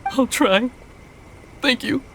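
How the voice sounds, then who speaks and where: A slow, downcast young lady. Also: on the high side, fairly bright tone, a little hiss or hum, low-quality recording. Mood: subdued, sad. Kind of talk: video game voice acting.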